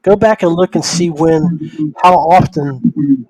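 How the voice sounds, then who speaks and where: A man's voice: on the high side, takes it slowly, darkish tone, slightly rough, no room sound, poor audio. A man hosting a casual podcast discussion, talking in a conversational, anecdotal way. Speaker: podcast host.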